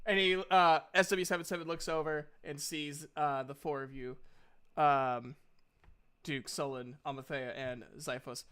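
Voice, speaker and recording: A man narrating as game master in an informal, halting, chatty way. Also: a little high, steady tone, a bit bright, clean tone. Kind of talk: casual tabletop game narration and chat.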